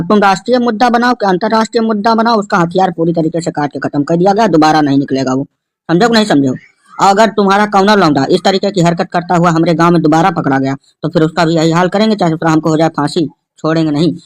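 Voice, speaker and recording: Guy doing a worked-up cartoon villager, highish voice, stubborn ranting, rural north indian hindi accent. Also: natural voice. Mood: heated. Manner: ranting, emphatic, rustic. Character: agitated, stubborn village man arguing at police station. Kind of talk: comedy cartoon dialogue.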